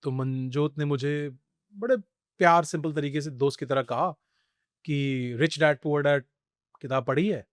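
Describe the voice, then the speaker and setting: Animated man mixing Hindi and English. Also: on the high side, unhurried, dry recording, studio quality. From India. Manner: mixes Hindi with English phrases, animated storytelling. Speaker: podcast guest. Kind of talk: conversational interview storytelling.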